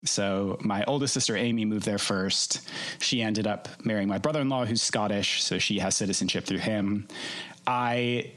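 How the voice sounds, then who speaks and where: A man in his thirties from Minnesota talks easily as a podcast guest. His voice sits fairly low, and his manner is friendly and chatty, with ready laughter. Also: moderate pace, a bit bright, rough recording. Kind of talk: casual chat.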